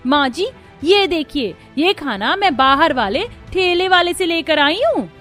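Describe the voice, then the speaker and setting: A woman voicing a suspicious, tattling young sister-in-law in an animated family story, accusing and emphatic. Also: sits high, a little slow, soft background music. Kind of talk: Hindi cartoon story acting.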